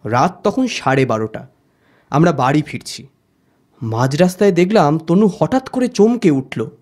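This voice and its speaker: A man's voice: fairly high pitch, lively intonation, measured pace, a little mellow. A man narrating an audio story expressively in the first person, as the detective's friend. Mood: somber, suspenseful.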